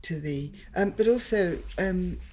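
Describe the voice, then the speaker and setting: A woman's voice: lowish voice, a little slow, over a noisy crowd. A woman interviewer asking questions in a sympathetic, chatty manner.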